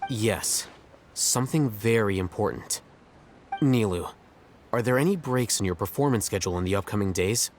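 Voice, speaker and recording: A man's voice: on the low side, lively intonation, normal speed, a little hiss or hum, natural voice. A man playing an aloof, coolly logical young scholar in an anime game, with precise, dry, detached delivery. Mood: composed.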